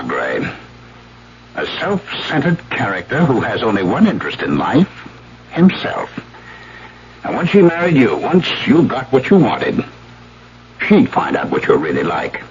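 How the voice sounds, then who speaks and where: Radio villain: jealous, sardonic husband, low and highly animated; dull old recording. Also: male voice. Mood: contemptuous. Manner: sardonic, deliberate contempt. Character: spiteful, bitter, mocking jealous husband. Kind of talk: old-time radio drama acting.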